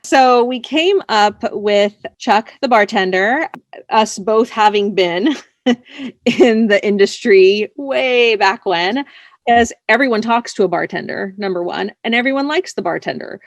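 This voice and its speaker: A woman's voice: mid-range voice, unhurried, no echo, studio quality. A woman being interviewed as a writer, chatting casually in an informal, playful, slightly coy way. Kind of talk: casual chat.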